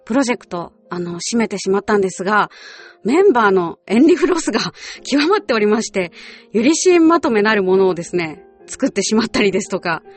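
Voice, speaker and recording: A woman's voice: mid-range voice, sing-song, fast. A woman hosting a radio show, talking politely in a scripted style with chatty, casual asides. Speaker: radio show host and voice actress. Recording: music behind the voice. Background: background music.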